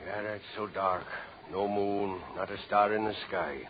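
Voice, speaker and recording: An actor playing an uneasy, seasoned sailor with an expressive manner in a 1940s radio drama. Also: male voice, on the low side, a little slow, close to an everyday voice. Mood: uneasy musing. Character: seasoned sailor, superstitious, uneasy, concerned shipmate. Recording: muffled, clearly noisy 1940s radio recording.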